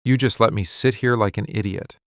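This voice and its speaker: A man playing a possessive, wounded mafia boss in a boyfriend ASMR roleplay, speaking in deliberate, clipped, interrogating phrases. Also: lowish voice, fairly even intonation, dry recording, studio quality, close to an everyday voice. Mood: hurt, accusing.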